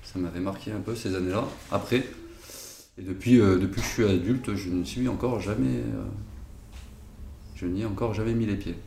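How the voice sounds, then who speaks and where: A man's voice: on the low side, brisk, creaky, dark tone. A man giving a casual, hesitant interview about his family, with frequent fillers. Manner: hesitant, many fillers and restarts. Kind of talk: casual spoken testimony. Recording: echoey room, faint noise.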